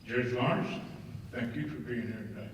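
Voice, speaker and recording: Man making announcements in an echoey hall. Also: mid-range voice. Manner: folksy, halting, with many fillers. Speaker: luncheon emcee. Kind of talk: casual announcements to an audience. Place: large indoor hall. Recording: echoey hall, distant mic, faint noise.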